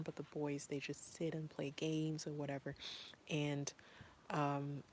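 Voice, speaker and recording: Woman from Zimbabwe, deep-ish voice, casual parent vlogger, earnest and rambling. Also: slight background noise. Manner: earnest, rambling with many fillers. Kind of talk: casual talk to camera.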